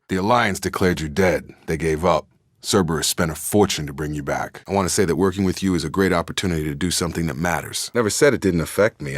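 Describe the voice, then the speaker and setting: A loyal, no-nonsense soldier from a sci-fi video game. His voice sits low and deep. He speaks plainly in a clipped, guarded military manner, recorded dry with no room sound. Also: no room sound. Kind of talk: video game character dialogue.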